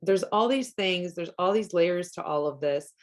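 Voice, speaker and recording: A woman's voice: on the low side, expressive, moderate pace. Earnest Canadian woman, Polish first language. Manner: earnest, thinks aloud with many restarts and "like". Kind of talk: casual conversation.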